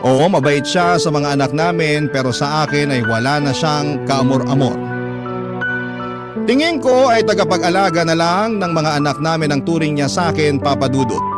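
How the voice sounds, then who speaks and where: Male radio storyteller, somewhat high-pitched, dramatic letter reading under prominent music. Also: normal speed. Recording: loud background music.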